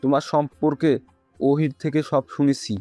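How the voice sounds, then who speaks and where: A man playing a sober middle-aged father in an audio love story, measured and formal. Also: on the high side, little pitch movement, dark tone, no echo, faint music behind, natural voice. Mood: serious, measured.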